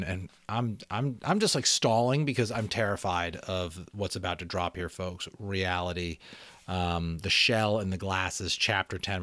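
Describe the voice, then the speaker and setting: A man hosting and narrating a book aloud, theatrical and expressive, with casual asides. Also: low voice, no room sound. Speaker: audiobook reader and host.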